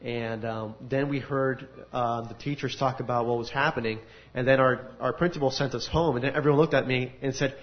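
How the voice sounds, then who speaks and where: A man of ordinary pitch gives a personal testimony to an audience in a large room. He tells his story earnestly and with animated energy. The recording has a dull, lo-fi sound. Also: brisk. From United States. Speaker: speaker giving a testimony. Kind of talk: personal testimony at a faith gathering.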